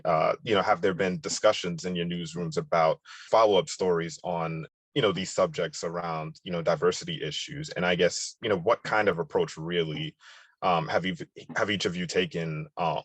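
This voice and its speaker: A man moderating a panel discussion, introducing guests and asking questions in a halting way with many fillers. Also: low voice, breathy edge, no room sound. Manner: halting, many uhs and you knows.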